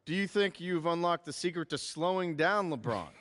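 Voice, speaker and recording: A man's voice: on the high side, animated, moderate pace, a bit bright. A man playing an insistent sports reporter at a press conference in a video game. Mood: inquiring. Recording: long echoing room reverb.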